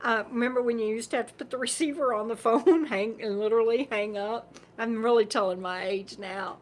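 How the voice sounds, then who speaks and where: High-voiced chatty woman host. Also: brisk. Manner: rambling, chatty, laughs often, repeats words. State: losing her voice. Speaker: livestream host. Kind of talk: casual livestream chat reading viewer comments.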